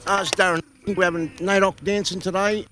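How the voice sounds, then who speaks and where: Man, dance teacher, slightly high voice, talks haltingly with lots of fillers. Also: flat delivery, noisy background. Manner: halting, with many fillers and restarts. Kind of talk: casual interview.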